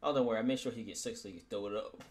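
A man's voice: fairly low pitch, very fast. A man chatting in improvised game roleplay, casually swearing and often repeating his phrases. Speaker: roleplay player. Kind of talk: improvised Minecraft roleplay chat.

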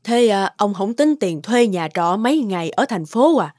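An actress playing a worried, gentle middle-aged farming mother in an animated family drama, plain-spoken and concerned. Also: medium pitch, moderate pace, dry recording, studio quality, natural voice. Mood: mildly worried. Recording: loud music behind the voice.

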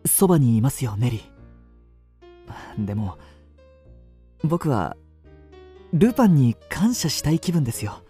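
An actor playing a suave, soft-spoken young man narrating a radio drama in the first person with measured delivery. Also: medium pitch, big pitch swings, faint music behind. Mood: tender. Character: suave young male narrator, quietly courting a lady.

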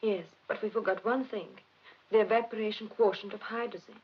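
A woman's voice: mid-range voice, steady tone, fairly quick, breathy edge, close to an everyday voice. Actress as an earnest devoted woman, muffled 1950s film. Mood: urgent, reasoning. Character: devoted, earnest female scientist in love, pleading. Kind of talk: 1950s film drama acting. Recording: muffled 1950s film soundtrack, faint music.

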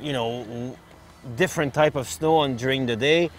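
A man's voice: mid-range voice, normal speed, a little hiss or hum. Middle-aged man presenting outdoors. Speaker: ski test host. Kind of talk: casual product review and interview. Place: outdoors on a snowy ski slope.

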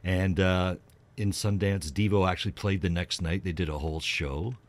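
Low-pitched man chatting about music on radio. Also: steady tone, normal speed. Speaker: radio co-host. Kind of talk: casual music chat.

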